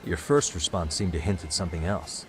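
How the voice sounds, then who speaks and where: An actor playing a calm, polite but direct male detective in a video game drama, asking probing questions. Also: low voice, brisk, slight background noise, natural voice. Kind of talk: video game cutscene acting. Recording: background music under the dialogue.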